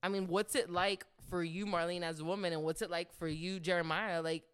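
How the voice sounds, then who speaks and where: A woman's voice: fairly low pitch, fairly even intonation, a little fast, smooth. A woman co-hosting a podcast, chatting casually with friends. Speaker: podcast co-host. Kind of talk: casual group chat.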